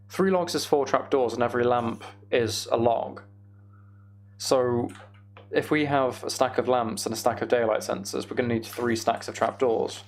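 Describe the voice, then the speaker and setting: Casual male game streamer with creaky voice. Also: mid-range voice, a little hiss or hum. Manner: thinking aloud, rambling.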